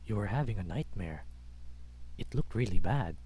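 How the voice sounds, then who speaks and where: A man's voice: on the low side, animated, normal speed, warm tone, slightly rough. Man playing a worried, protective furry soldier. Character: concerned adult male furred soldier, protective friend.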